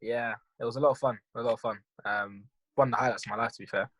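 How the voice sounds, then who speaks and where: A man's voice: mid-range voice, quick pace. Young man chatting on a call. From Netherlands, raised in London. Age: young adult. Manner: relaxed, says "bro" often, laughs easily. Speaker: podcast co-host. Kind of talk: casual chat on a video call. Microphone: video call.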